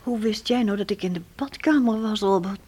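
A woman acting a weak, dazed attack victim in a radio thriller, breathy and halting. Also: medium pitch, a little mellow, natural voice. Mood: weak, shaken. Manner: halting, faltering speech.

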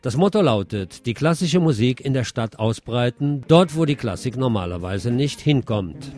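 A man's voice: mid-range voice, expressive, normal speed, over music. Male radio news presenter, wry scripted delivery.

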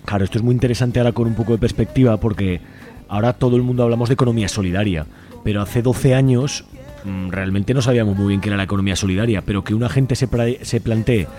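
A man's voice: lowish voice, quick pace, mellow. A man chatting casually on the radio as a library volunteer, talkative and colloquial, often checking for agreement with question tags. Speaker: community library volunteer, interview guest. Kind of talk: casual spontaneous radio chat.

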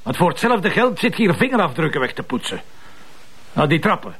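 A man's voice: on the high side, sing-song, fairly quick, a bit bright, slightly rough, full character voice, rough recording. A man playing a brusque, wry police commissioner and doting father in a Flemish TV drama, colloquial and impatient. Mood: impatient, stern. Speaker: actor in a TV police series. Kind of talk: naturalistic TV drama dialogue.

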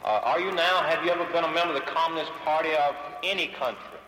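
Echoey archive American male interrogator, highish voice. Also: expressive, fast, sharp tone. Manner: insistent, official. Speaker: questioner at a congressional hearing. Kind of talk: formal interrogation, 1940s hearing. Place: large reverberant hearing room. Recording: echoey, noisy 1940s archive hearing recording.

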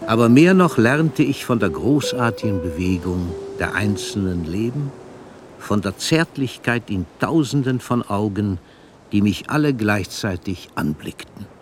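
An actor playing a celebrated older male poet who reflects solemnly in a measured, declamatory radio play monologue. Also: fairly low pitch, lively intonation, a little hiss or hum. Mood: solemn, moved.

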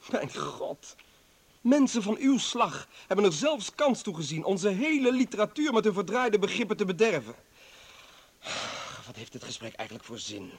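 A defiant, embittered doctor in a radio drama. His voice sits a little high and moves very animatedly through its range. He argues sarcastically and indignantly, as a man who has been imprisoned for his politics. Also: very animated, normal speed, breathy edge, full character voice. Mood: scornful, agitated. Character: bitter, defiant male doctor, former political prisoner. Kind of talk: dramatic radio play acting.

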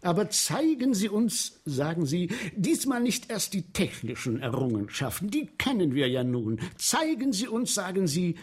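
Casting: macabre sewer inspector, eager to please, insinuating radio drama storyteller, unhurried, with a highish swooping voice. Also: male voice, sing-song, slightly rough, faint music behind, heavily acted. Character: eager, macabre male sewer inspector, obliging underworld guide. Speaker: radio play actor. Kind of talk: 1970s German radio play acting.

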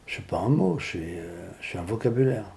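An older man with a dark, mellow voice speaks in halting, rambling bursts, restarting words and then landing on blunt, gruff replies. He talks casually as a guest singer answering questions. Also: on the low side, big pitch swings, a little hiss or hum. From Switzerland. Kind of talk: casual radio interview.

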